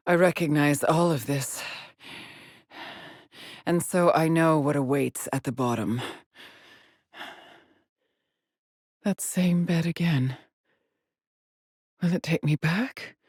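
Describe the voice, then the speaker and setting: Casting: haunted female explorer in a sci-fi game, low husky voice, slow and grave, solitary log delivery. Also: a little mellow, dry recording, clean studio sound, exaggerated voice. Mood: uneasy, haunted. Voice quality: husky, breathy. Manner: measured, introspective, grave, with sighs. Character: haunted, determined female space explorer stranded on alien world. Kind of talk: scripted video game monologue, solitary log narration.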